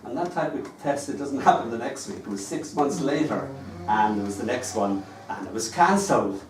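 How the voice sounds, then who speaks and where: Irish poet in a roomy distant recording. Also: male voice, medium pitch, animated, moderate pace, background noise. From Ireland. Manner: chatty, wry storytelling with comic asides between poems. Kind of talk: live poetry reading with spoken anecdotes. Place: small live performance venue. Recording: echoey room picked up from a distance.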